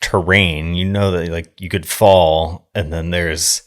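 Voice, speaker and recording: A man's voice: deep voice, fairly even intonation, takes it slowly. A man co-hosting a podcast in casual conversation, often feeling around for the right word. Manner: searches for words, checks terms aloud. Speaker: podcast co-host discussing films. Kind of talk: casual conversational film recap.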